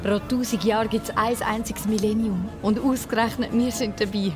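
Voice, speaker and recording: A woman's voice: mid-range voice, fairly even intonation, normal speed, natural voice. An actress playing a cheerful, easily thrilled romantic young woman in a Swiss German children's radio play. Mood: dreamy, happy. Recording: music behind the voice.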